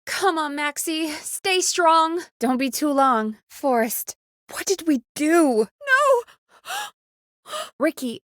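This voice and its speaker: A small-town woman radio producer in a video game. Her voice sits high and swings widely in pitch as she chats, earnest and playfully teasing. The sound is dry and clean, with no room around it. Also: no echo, exaggerated voice. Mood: distressed, then uneasy, concerned. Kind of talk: video game voice acting, conversational.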